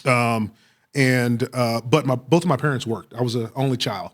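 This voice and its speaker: A middle-aged American man from Texas answering interview questions with personal stories, speaking reflectively with frequent pauses and repeated phrases. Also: mid-range voice, steady tone. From Waco, Texas, United States. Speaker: police chief, interview guest.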